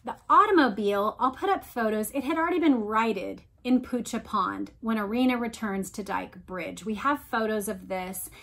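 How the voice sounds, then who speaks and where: A woman's voice: fairly high pitch, moderate pace, hardly any pauses, fairly bright tone. A woman hosting a read-along, reading aloud and adding emphatic explanations addressed directly to listeners. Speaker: host of a read-along discussion.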